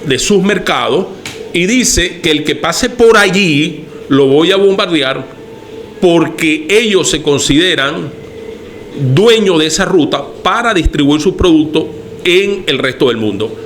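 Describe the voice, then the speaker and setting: Venezuelan guy on a podcast, kinda high voice, faint hiss behind, earnest and rambly. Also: lively intonation, unhurried, fairly bright tone. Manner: earnest, thinking aloud with restarts. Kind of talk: casual podcast discussion.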